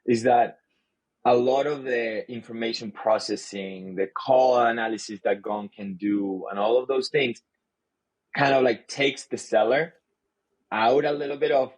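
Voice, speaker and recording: Husky man, Spanish first language, earnest sales-leader guest, talks in restarts. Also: mid-range voice, fairly even intonation, measured pace, poor audio. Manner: earnest, frequent restarts and repeated words. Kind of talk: casual podcast conversation.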